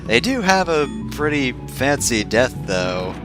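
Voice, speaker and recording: A man's voice: medium pitch, very animated, measured pace, music in the background. A man giving casual, rambling video game commentary as a let's-play host, with wry, sarcastic asides and frequent digressions.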